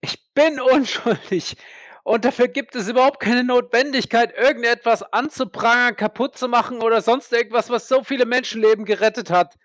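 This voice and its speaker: A man's voice: very high voice, moderate pace, fairly bright tone, no echo. A man acting in an audio drama as an embittered former army captain, indignant, defiant and prone to swearing. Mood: angry, desperate.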